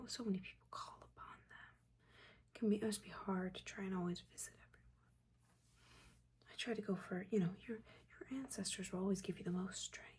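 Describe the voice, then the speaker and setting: A woman doing a soft-spoken, breathy, mostly whispered ASMR roleplay as a stern, disappointed witch mentor, with a halting, scolding manner. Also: medium pitch. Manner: scolding, halting, dryly exasperated. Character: stern, disappointed witch mentor, nagging but secretly caring. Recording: audible background ambience noise.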